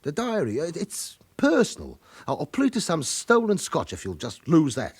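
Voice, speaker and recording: A husky old club owner, shifty and wheedling, in a radio crime play. His voice sits high and swings wildly as he stammers and protests, with faint music around it. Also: wildly swooping pitch, normal speed, heavily acted. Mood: nervous pleading. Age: older. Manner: stammering, pleading. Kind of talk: radio crime drama acting.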